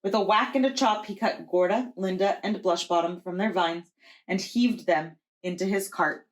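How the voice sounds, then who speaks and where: Lady preschool teacher reading a kids story out loud, careful and expressive, average pitch. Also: normal speed. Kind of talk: children's storybook read-aloud.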